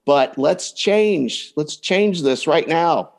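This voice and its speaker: A man's voice: fairly high pitch, expressive, slow, creaky. A man giving conversational advice as a coach and podcast guest, often voicing hypothetical remarks as he explains.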